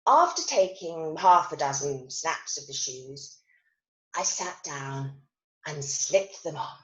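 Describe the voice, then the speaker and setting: An actress playing a self-critical, wry and wistful woman recovering from an accident, telling her story in a theatrical monologue with deliberate pauses. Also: low voice, animated, a bit bright, exaggerated voice. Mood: wistful, absorbed. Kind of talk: dramatic monologue reading of new writing. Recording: roomy, echoing online recording.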